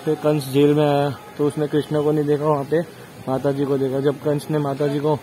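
A man's voice: fairly high pitch, monotone, brisk, dark tone, soft background music. Man giving a casual temple walking tour. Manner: plain, explanatory, recounting temple paintings. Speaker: travel vlogger. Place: outdoors at a large marble temple. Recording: noisy outdoor phone recording.

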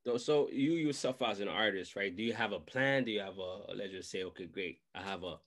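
A man's voice: on the low side, fairly quick, fairly bright tone. A man, hosting a casual interview in slangy talk and repeating phrases for emphasis. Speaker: host. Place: small room at home, indoors. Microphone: large desk microphone close up, video call.

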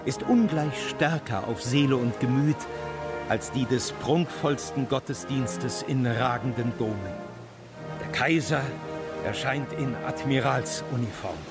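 An actor playing a reverent, florid court chronicler, narrating solemnly and deliberately in a radio drama. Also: male voice, mid-range voice, over music, close to an everyday voice.

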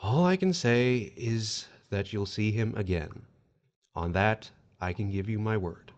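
An actor playing a calm, persuasive afterlife agent in an audio drama, measured, formal and reassuring. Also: male voice, lowish voice, highs cut off, close to an everyday voice.

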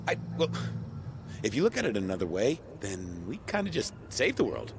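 An actor playing a brash, foul-mouthed survivor in a video game, blunt and urgent. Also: male voice, lowish voice, wildly swooping pitch, moderate pace, vocal fry, noisy background, full character voice. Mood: weary, wry. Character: brash, foul-mouthed male survivor fighting apocalyptic chaos. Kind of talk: video game cutscene acting. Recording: loud music behind the voice. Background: loud music.

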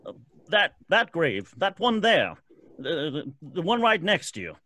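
Lively, folksy graveyard caretaker. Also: male voice, sits high, measured pace, heavily acted. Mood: uneasy. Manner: hesitant, rustic, spooked. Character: folksy, uneasy graveyard caretaker telling legends. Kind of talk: old-time radio drama acting.

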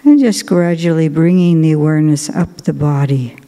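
Woman, Buddhist nun, guided meditation, mellow tone, unhurried gentle delivery, long cathedral reverb. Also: sits low. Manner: slow, gentle, soft-spoken with long pauses. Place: large cathedral.

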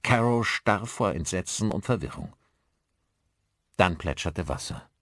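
A man's voice: low-pitched, animated, a little slow, a bit bright, dry recording, clean studio sound. A man reading scripted narration for a thriller audiobook.

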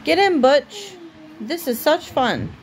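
A woman reading a children's storybook aloud as a mother would at bedtime, with deliberate pauses. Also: sits high, big pitch swings, a little hiss or hum, low-quality recording.

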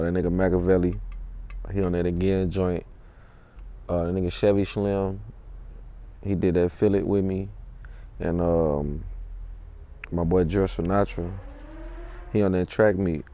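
Young male rapper. Deep, relaxed tone, laid-back slangy delivery, casual interview outdoors. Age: young adult. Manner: laid-back, slangy, with frequent tag phrases. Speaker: rapper and clothing brand owner. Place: outdoors in a driveway beside a parked car.

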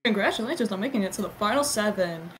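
A woman's voice: medium pitch, expressive, quick pace, fairly bright tone. A woman performing an irreverent, giggly, improvised cartoon fandub. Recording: clearly noisy home recording.

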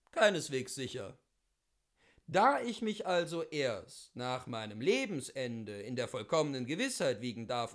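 A man reading as a witty, worldly philosopher of seventy-two, telling his memoirs in a theatrical, rhetorical and deliberate literary style. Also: a little high.

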